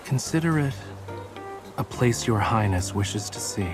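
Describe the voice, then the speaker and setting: A man playing a reserved, dutiful sea god in disguise, speaking in a formal, measured way. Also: lowish voice, animated, music in the background. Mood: dismissive.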